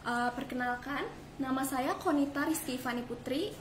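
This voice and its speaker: A young woman from Banten, Indonesia, speaks in a high voice as a student guest in an interview. Her answers are short and hesitant, recorded in an echoey room with faint noise. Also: normal speed. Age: early 20s.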